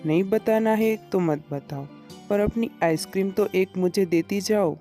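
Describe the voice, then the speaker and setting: Cartoon moral-story rival vendor: woman playing a sly, coaxing village man, mellow and low, music underneath. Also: measured pace, natural voice. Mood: wheedling. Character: scheming rival village ice cream man, wheedling.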